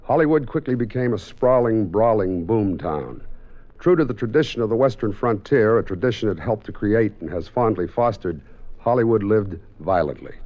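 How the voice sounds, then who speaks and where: Low, portentous male radio host. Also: darkish tone. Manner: dramatic, portentous. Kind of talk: scripted 1950s radio introduction. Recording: dull old radio recording.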